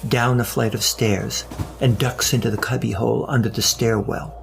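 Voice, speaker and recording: A man narrating a scripted audio drama with deliberate, suspenseful storytelling and wry touches. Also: medium pitch, level tone, vocal fry.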